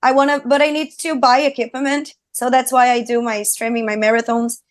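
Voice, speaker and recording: A woman's voice: on the high side, flat delivery, brisk, no echo, studio quality. A young woman from Brazil with a Brazilian Portuguese accent, a singer and streamer chatting as a guest in an interview, upbeat and full of fillers and restarts. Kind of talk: casual interview chat.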